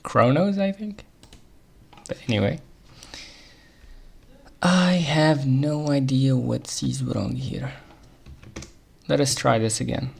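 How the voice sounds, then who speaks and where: A man with an Arabic accent and a gravelly, fry-laden voice talks himself through a stubborn bug on a live coding stream. He mutters half-finished thoughts and reads error messages aloud, breaking into short chuckles. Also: mid-range voice. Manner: muttering to himself while debugging, quick chuckles.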